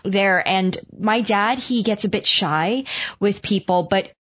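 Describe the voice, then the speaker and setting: A woman's voice: mid-range voice, slow pace, a bit bright. Chatty woman interviewer, muffled sound. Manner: chatty, uses "like" often. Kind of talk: casual conversational interview. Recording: muffled lo-fi recording.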